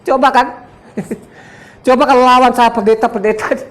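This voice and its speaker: A man's voice: very high voice, fairly even intonation, normal speed. A man in his seventies from Sumba, speaking. He preaches a combative, boastful sermon, laughs often and quizzes his listeners. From Sumba, Indonesia. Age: 70s. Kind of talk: sermon to a congregation.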